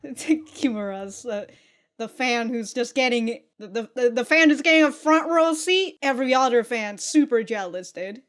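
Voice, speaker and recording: A woman giving casual, excitable reaction commentary as host, swearing freely and often breaking into laughter. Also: on the high side, unhurried.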